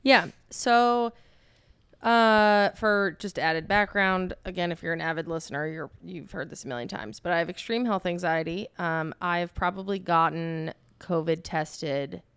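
A woman's voice: lowish voice, measured pace, a bit bright, smooth, no room sound. A woman co-hosting a podcast, telling a personal story in a playful, self-mocking way. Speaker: podcast co-host. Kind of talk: casual storytelling.

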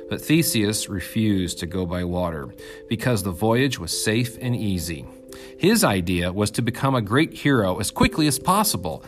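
A man reading an ancient myth aloud as scripted storytelling narration. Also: on the low side.

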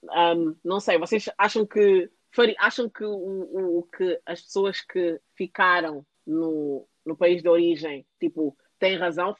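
A woman's voice: on the low side, no echo, dull audio. A young adult woman in casual conversation, rambling and full of fillers, often restarting her sentences. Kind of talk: casual chat.

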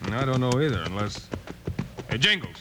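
A man's voice: mid-range voice, big pitch swings, moderate pace, close to an everyday voice. An actor playing a bold American frontier lawman with clipped, commanding speech in an old western radio drama. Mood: confident. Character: bold, sure-footed frontier lawman hero. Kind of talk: 1950s western radio acting. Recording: very noisy old radio broadcast.

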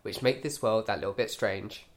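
A man, co-hosting a podcast in casual chat, his voice hoarse and croaky from illness. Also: fairly low pitch, normal speed. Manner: easygoing, jokey. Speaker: podcast co-host.

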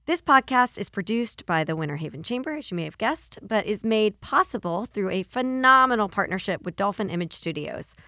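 A woman's voice: mid-range voice, expressive, brisk, hardly any pauses, bright, forceful tone, no room sound, studio quality. A woman hosting a chamber of commerce podcast, upbeat and polished, reading sponsor copy and interviewing a guest. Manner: upbeat, polished, with friendly affirmations. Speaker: podcast host.

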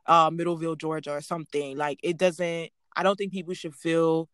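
A woman from Nigeria as a guest in a casual interview, relaxed and hesitant, with many pauses and fillers. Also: sits low, no room sound, studio quality.

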